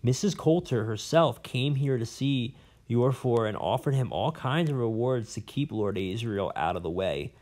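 A man's voice: mid-range voice, expressive, moderate pace, a little mellow, lightly acted. A man voicing a pompous, rambling old male professor in an audiobook, blustering and fussy. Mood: conspiratorial. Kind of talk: audiobook character voice.

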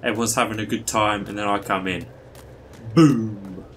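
A man's voice: lowish voice, steady tone, moderate pace, a little hiss or hum. A young man streaming a video game from a small room at home on a headset, casually reacting and chatting as he plays. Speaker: gaming streamer. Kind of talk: casual gameplay commentary. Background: loud game music.

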